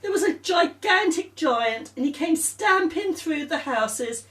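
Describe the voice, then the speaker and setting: A woman's voice: very high voice, expressive, measured pace, a bit bright, voice pushed up, heavily acted. A woman voicing a boastful, plaintive little girl who tells dramatic tall tales in a storybook. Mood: dramatic, excited. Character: little girl telling dramatic tall tales about her bad knee. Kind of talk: storybook character voice. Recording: roomy echo, distant microphone.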